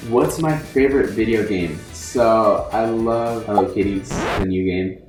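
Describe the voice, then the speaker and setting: A man's voice: lowish voice, measured pace. A young man and native Spanish speaker, chatting casually through a Q&A vlog at home with rambling "like" fillers. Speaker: social media influencer. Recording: roomy echo with faint music.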